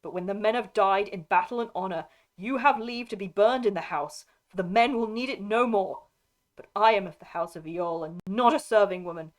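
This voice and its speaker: A woman's voice: medium pitch, moderate pace, voice pushed up, lightly acted. Impassioned, proud young noblewoman warrior, voiced by a woman reader. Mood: bitter, proud. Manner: formal archaic phrasing, fervent. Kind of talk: dramatic audiobook character reading.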